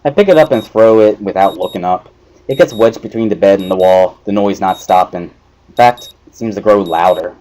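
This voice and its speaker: A man's voice: lowish voice, brisk, mellow, low-quality recording. A man giving a dramatic first-person narration of a visual novel story. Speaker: narrator of a let's play dramatic reading.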